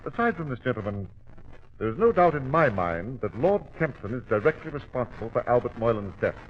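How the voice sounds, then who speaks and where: Suave, smug gentleman suspect male actor, formal and lively in intonation, muffled old radio drama sound. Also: mid-range voice, brisk, close to an everyday voice. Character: smug club gentleman, smooth, accusing, self-possessed man. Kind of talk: 1940s radio acting. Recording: muffled vintage radio recording.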